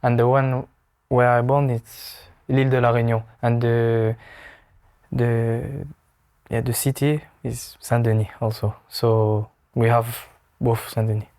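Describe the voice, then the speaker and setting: A young man in his twenties with a French accent, answering interview questions in halting English, searching for words and laughing easily. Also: mid-range voice, little pitch movement, a little mellow. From France. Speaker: footballer. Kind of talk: casual interview.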